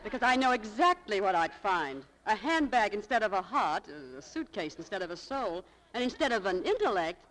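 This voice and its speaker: A woman playing a haughty, sharp-tongued young shop clerk in a 1940s radio drama, with tart, exasperated, theatrical delivery. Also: medium pitch, sing-song, normal speed, hardly any pauses, a little hiss or hum, low-quality recording. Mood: scornful, impatient. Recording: vintage 1940s radio broadcast.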